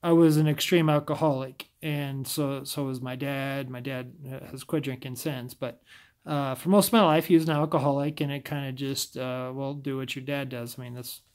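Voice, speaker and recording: A man's voice: medium pitch, fairly even intonation, moderate pace. A man with a scratchy voice talking casually to camera, often stumbling and restarting his sentences. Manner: stumbles over words, rambling restarts.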